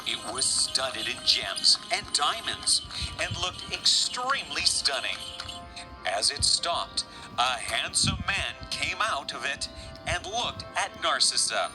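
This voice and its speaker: A man's voice: a little high, very animated, slow, music in the background, poor audio. A man narrating a children's fairy tale as a scripted storyteller.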